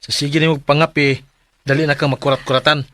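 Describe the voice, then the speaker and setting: Close and dry, an actor plays a concerned Cebuano husband with a slightly high male voice. He nags and frets over his household in radio drama style. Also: little pitch movement, fast talker, a bit bright, dry recording, lightly acted. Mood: nagging, concerned. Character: concerned, nagging husband and father. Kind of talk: Philippine radio drama acting.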